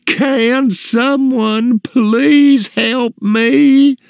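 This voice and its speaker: A man voicing an imperious, demanding older woman in a story, with drawn-out, commanding words. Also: sits high, big pitch swings, dry recording, clean studio sound, pitched above the performer's own voice, exaggerated voice. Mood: shrill, exasperated. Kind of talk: storybook character voice in narration.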